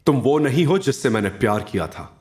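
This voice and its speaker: A man's voice: mid-range voice, animated, a little fast, darkish tone, slightly rough. A man playing a wounded, proud young husband in an emotional, emphatic audio drama style. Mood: cold, resolute. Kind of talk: Hindi audio drama acting.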